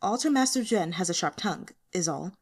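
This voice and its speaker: A woman's voice: lowish voice, animated, a little fast. Woman as an earnest, easily flustered male subordinate. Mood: quiet.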